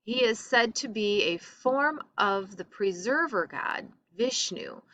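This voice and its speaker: A woman reading a lesson aloud as a teacher, in a careful and deliberate manner. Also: medium pitch, animated, bright, forceful tone, slight background noise. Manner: careful, deliberate reading, stumbles over foreign names. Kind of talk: read-aloud lesson narration.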